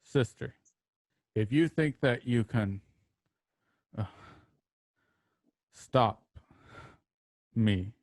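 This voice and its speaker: An actor as a grave, stoic, protective brother in a Western radio drama. He speaks extremely slowly and haltingly, in a dark voice recorded very dry. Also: mid-range voice, dry recording. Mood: betrayed, weakening. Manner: halting, weighty delivery. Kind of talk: online radio play acting.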